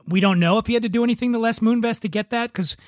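A man's voice: very high voice, fast talker, no echo, studio quality. A man hosting a casual comedy podcast, chatting with a joking, teasing manner. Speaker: podcast host.

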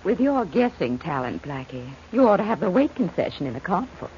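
An actress playing a brassy, defiant secretary and murder accomplice in a 1940s radio crime drama, sharp-tongued and emphatic. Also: female voice, fairly low pitch, very animated, brisk. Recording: muffled, hissy old radio recording.

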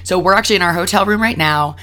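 A young woman in her twenties hosting a podcast in casual chat, her voice worn and hoarse, upbeat and quick to laugh, talking in a hotel room. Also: lowish voice, sharp tone, faint music behind. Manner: bubbly, upbeat, laughs often. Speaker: podcast host.

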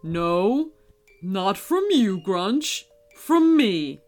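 Woman acting old male Santa, children's storybook, lower measured delivery, gentle authority, faint music behind. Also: sing-song. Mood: firm, kind. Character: kindly, stern old male Santa with Christmas magic.

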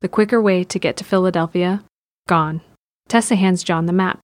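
A woman reading scripted narration for an audio drama in a measured, descriptive way. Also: on the low side, steady tone, dry recording, studio quality.

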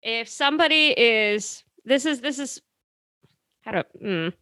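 A woman guest on a comedy podcast, talking quickly with restarts and jokes. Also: fairly high pitch, animated, pushed and bright, no echo, studio quality. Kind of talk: casual chat.

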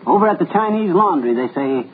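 Folksy, bragging radio-comedy husband, lively pitch, tinny old broadcast. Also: male voice, fairly quick, husky. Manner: folksy, bragging comic patter. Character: boastful good-natured middle-aged husband.

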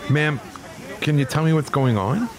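A man playing a tough, foul-mouthed police officer in an audio drama, urgent, gruff and worried. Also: a little high, normal speed, close to an everyday voice. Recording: noisy city street with busy crowd. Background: street ambience and crowd.

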